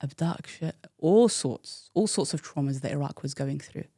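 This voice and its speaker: A woman's voice: deep voice, moderate pace, gravelly, dark tone, breathy edge, no echo, studio quality. A woman in her thirties from the UK, a guest telling her life story frankly and reflectively, with frequent fillers. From United Kingdom. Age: 30s. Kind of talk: candid personal interview.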